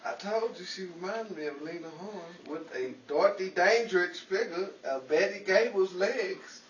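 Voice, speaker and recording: A man's voice: sits high, moderate pace, close to an everyday voice. A man playing a defensive admirer of glamorous women in an old-time radio-style comedy. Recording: muffled, very noisy, echoey, distant mic.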